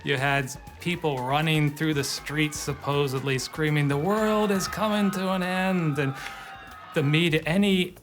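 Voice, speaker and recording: A man's voice: fairly high pitch, fairly even intonation, measured pace. Man telling a story over music. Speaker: documentary interviewee. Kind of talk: storytelling commentary.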